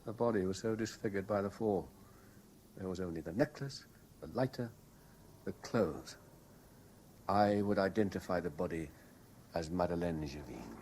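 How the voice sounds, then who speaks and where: An actor playing an obsessed, lovelorn British man in a 1970s radio drama, speaking with intense, deliberate theatrical delivery. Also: sits low, lively intonation, a little mellow. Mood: grim, accusing. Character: obsessed lovelorn man piecing together a betrayal. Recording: noisy old radio broadcast recording.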